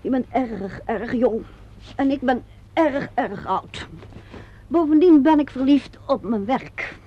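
A woman's voice: high voice, lively intonation, slow pace, exaggerated voice. An actress playing a self-possessed, teasing woman of the theatre in a radio drama, bantering and flippant. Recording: dull lo-fi radio play recording.

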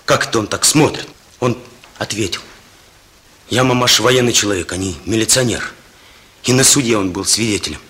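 An actor in a Soviet radio drama playing an earnest, indignant young police operative, speaking with halting, emotional intensity. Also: male voice, fairly low pitch, a bit bright, close to an everyday voice.

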